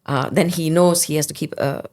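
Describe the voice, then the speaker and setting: A woman's voice: sits low, steady tone, moderate pace, faint music behind. A woman, a paranormal investigator telling stories confidently in a casual podcast chat. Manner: storytelling, confident, self-described loud voice.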